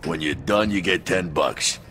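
An actor playing a gruff, brutish, foul-mouthed dock foreman in a crime video game, curt and bossy, with an American accent. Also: low voice, measured pace, sharp tone. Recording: clear noise, vehicle sounds behind. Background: ambient vehicle noise.

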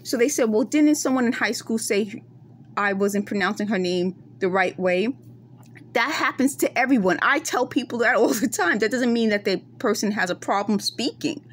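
A woman's voice: fairly high pitch, normal speed, slight background noise. A middle-aged woman from the Bronx with a New York accent tinged by West Indian roots, talking earnestly into her phone in a dim room at night. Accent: Bronx New York with West Indian traces. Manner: earnest, insistent, explains in circles. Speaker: vlogger. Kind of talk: casual monologue to camera. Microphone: phone camera close up.

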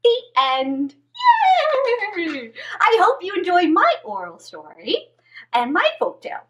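A woman with a high voice tells stories to young children. She is expressive and theatrical, and her pitch swings widely as she goes. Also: slow. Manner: exaggerated, expressive delivery. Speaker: children's story time host. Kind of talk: animated storytelling for young children.

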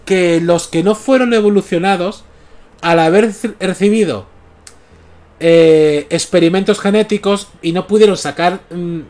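A man's voice: high-pitched, a little mellow. A man in his thirties with a Canarian Spanish accent, chatting casually on a comics livestream through a headset mic in a small room at home. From Canary Islands. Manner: chatty, often checks in with "¿vale?". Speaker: comics streamer. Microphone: gaming headset mic.

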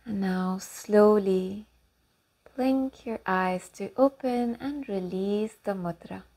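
A woman leading a guided meditation and affirmations in calm, unhurried instruction with long pauses. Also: medium pitch.

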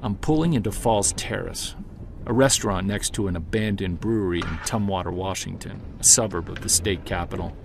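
A man playing a driven, defiant Bigfoot hunter narrating an audio-drama journal with earnest intensity and emphatic pauses. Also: low voice, vocal fry, background noise. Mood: calm, friendly.

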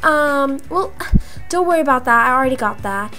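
High-pitched, animated young woman. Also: normal speed. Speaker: gaming video creator voicing roleplay characters. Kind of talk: improvised Roblox roleplay dialogue. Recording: music behind the voice. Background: music.

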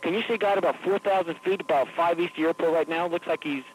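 Male controller, quick and fairly high, clear hiss on the line. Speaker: air traffic controller. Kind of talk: urgent operational talk. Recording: noisy archive radio-like line.

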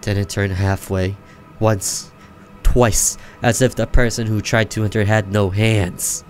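A man reading a horror visual novel aloud in a slow, theatrical, suspenseful narration. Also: on the low side, little pitch movement, soft background music. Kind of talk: dramatic narration of a horror story.

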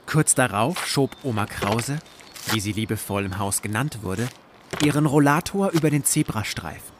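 A man reading scripted literary narration for an audio drama in a measured, deliberate storytelling manner. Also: mid-range voice, lively intonation, background noise.

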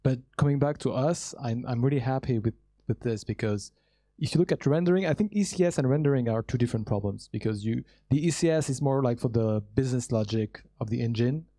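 Young man, panelist, very dark tone, dry close sound, handheld mic, earnest technical talk with fillers. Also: mid-range voice, fast talker, no room sound. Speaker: panelist, startup co-founder. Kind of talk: conference panel answer. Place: large hall with audience.

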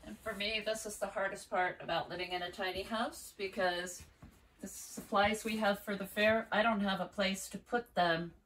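A woman's voice: medium pitch, fairly even intonation, normal speed, fairly bright tone. Candid older woman, a bit off-mic. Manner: candid, chatty interjections. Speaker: co-host, homeowner. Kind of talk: casual house tour talk. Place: small wood-paneled tiny house indoors. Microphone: camera several feet away. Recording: slightly distant with faint hiss.

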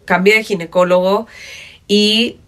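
A woman's voice: medium pitch, steady tone, a little slow, a bit bright. A woman in her thirties with a Mexican Spanish accent, talking casually as a podcast guest into a studio microphone. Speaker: podcast guest, fitness instructor. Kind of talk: casual conversational interview. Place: small padded podcast studio. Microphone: studio microphone on boom arm.

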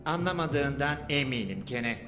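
Earnest sewer-dwelling hero played by a man, animated delivery, roomy echo and score. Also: mid-range voice, natural voice. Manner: earnest, explaining briskly. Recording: echoey reverb with music.

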